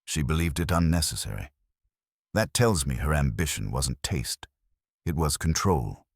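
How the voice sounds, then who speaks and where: A man's voice: very deep voice, very animated, moderate pace, slightly rough, no echo, studio quality, heavily acted. A man voicing a pompous, brooding, exacting genius detective in a storybook reading. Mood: ruminating, grave.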